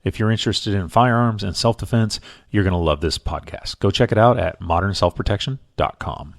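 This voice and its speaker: A man's voice: on the low side, a little fast, gravelly. A man, a podcast host, reading a scripted promo.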